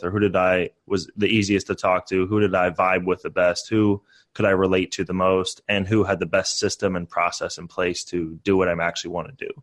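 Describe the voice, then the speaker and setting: A young man in his twenties, a real estate investor guest, talking casually and upbeat with many fillers. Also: low voice. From born in Canada, raised in the US. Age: 20s. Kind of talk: casual podcast interview.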